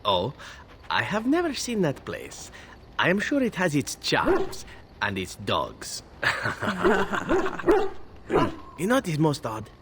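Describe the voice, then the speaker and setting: An actor playing a charming, roguish assassin from abroad in a video game, with teasing, florid and theatrical phrasing. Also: male voice, on the high side, wildly swooping pitch, takes it slowly, long pauses, noisy background, exaggerated voice. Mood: playful nostalgia. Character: roguish, charming male foreign assassin, wistful about home. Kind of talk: video game character acting.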